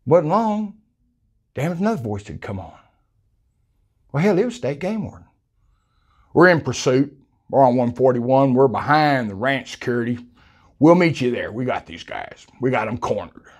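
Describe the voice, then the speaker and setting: A man's voice: medium pitch, lively intonation, normal speed, long pauses. An older man with a South Texas accent telling a hunting story in a folksy, colorful way, recorded on a lapel mic in a small room. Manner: folksy, colorful, acts out quoted voices. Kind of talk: casual storytelling.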